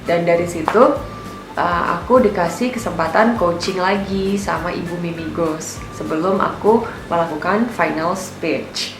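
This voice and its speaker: A woman's voice: fairly low pitch, measured pace. A young woman telling a personal story in a casual, candid voice-over. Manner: chatty and candid, with lots of filler words. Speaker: vlogger narrating her own experience. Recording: echoey room with music behind. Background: background music.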